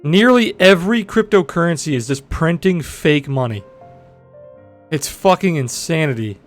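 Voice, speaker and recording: A young man in his twenties with a deep voice, chatting bluntly and profanely with viewers on a trading livestream. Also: lively intonation, moderate pace, soft background music. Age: 20s. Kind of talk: casual livestream chat answering viewers.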